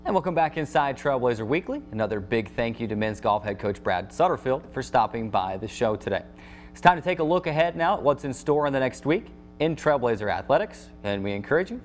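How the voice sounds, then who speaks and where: A man hosting a sports talk show in a chatty, conversational and wordy way. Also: medium pitch. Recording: faint background noise.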